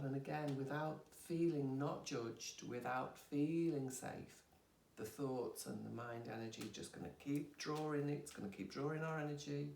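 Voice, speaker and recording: A woman's voice: sits low, vocal fry, dark tone, slight background noise. A woman, a yoga teacher, talking candidly and expressively about her own healing and laughing at herself. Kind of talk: candid personal conversation. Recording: roomy sound from a distant mic.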